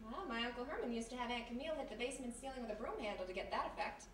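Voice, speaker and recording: A woman with an American accent playing a sassy, quick-witted girl Friday in a radio mystery, brash and slangy. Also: a little high, close to an everyday voice. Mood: sassy, needling. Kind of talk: live 1940s-style radio mystery acting. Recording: noisy echoey hall, distant stage mic.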